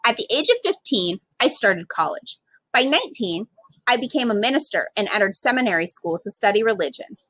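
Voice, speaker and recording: A woman's voice: mid-range voice, fairly quick, no room sound, low-quality recording. A woman reading a children's picture book aloud as a storyteller, expressively acting out the dialogue. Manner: animated, acts out dialogue.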